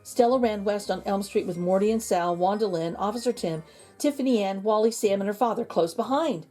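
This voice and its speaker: A woman reading a children's storybook aloud in an expressive, emphatic way. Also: mid-range voice, brisk, faint music behind. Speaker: children's book reader. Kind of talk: storybook read-aloud narration.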